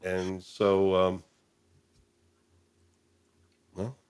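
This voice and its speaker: Guy w a lowish voice hosting a livestream, casual, kinda rambly and hesitant. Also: monotone, slow, crowd noise. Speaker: live stream host. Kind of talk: casual chat, wrapping up a live stream.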